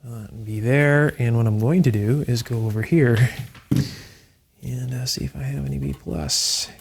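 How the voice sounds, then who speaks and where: A man hosting an electronics restoration video, explaining each step calmly and methodically, with frequent fillers. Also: mid-range voice, normal speed, gravelly, slightly rough. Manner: calm, methodical, with fillers like "uh" and "you know". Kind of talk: hands-on technical explanation.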